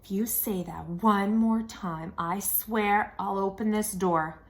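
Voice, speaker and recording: A woman's voice: mid-range voice, fairly even intonation, slow. Woman as a bratty, sneering big sister. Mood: scornful. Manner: scornful, dismissive. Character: defiant, sneering older sister, a bratty girl.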